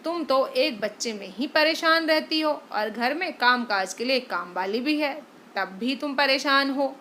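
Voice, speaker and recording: A harsh, complaining young husband, as a woman reader puts him on. The voice sits high and bright with a steady faint hiss behind it. He talks loudly and picks at everything. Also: unhurried, heavily acted. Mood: scornful. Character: irritable young husband, scolding his wife.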